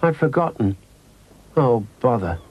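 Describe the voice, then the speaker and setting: A man's voice: medium pitch, very animated, a little slow, breathy edge, lo-fi sound, pitched above the performer's own voice. A man voicing an earnest, insistent little girl full of secret plans in a children's story. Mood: crestfallen.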